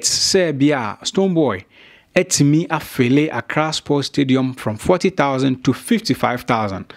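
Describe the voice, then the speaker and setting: A man in his thirties with a Ghanaian accent, hosting a showbiz news video and talking straight to camera from a small studio, switching between Twi and English. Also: medium pitch. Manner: mixes Twi and English, talks straight to viewers. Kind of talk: direct-to-camera commentary. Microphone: studio mic on stand.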